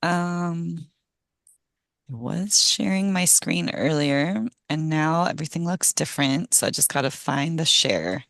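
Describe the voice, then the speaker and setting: Hoarse woman presenting on a video call, chatty, lots of ums, dry sound. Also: low voice, measured pace, a bit bright, no room sound. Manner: casual, chatty, laughs often, many ums. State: hoarse from a cold. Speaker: guest speaker, ecologist. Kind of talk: online meeting presentation.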